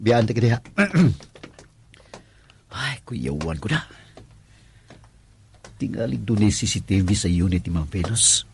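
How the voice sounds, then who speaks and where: A man's voice: fairly low pitch, unhurried, creaky, pauses often, a little mellow, slight background noise. A man acting a nervous, lustful young security guard in a radio drama, stammering and exclaiming with a Cebuano accent.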